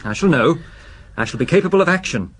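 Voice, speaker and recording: Nervous, obsessive husband, husky voice. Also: male voice, on the high side, animated, fast, fairly bright tone. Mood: obsessive, resolute. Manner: hesitant, apologetic yet stubbornly insistent. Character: nervous, obsessive shop-employee husband fearing poisoning. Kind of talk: radio drama dialogue.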